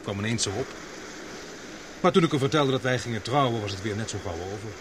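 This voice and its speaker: Measured young expedition man, noisy radio drama recording acted by a man. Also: on the low side, lightly acted. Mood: light, relieved. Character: capable young expedition man, calm, teasing fiancé.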